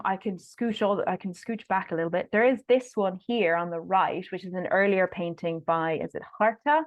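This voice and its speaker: A woman researcher answering questions informally at an online talk, halting and apologetic, often repeating words, over a dull call connection. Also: lowish voice, no room sound. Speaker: guest speaker, researcher. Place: online video call, close dry sound.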